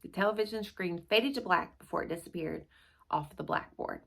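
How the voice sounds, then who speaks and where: A woman hosting a read-aloud video, with an expressive, informal and playful way of talking. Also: fairly low pitch, normal speed, vocal fry. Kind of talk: expressive children's storybook narration and casual chat to viewers.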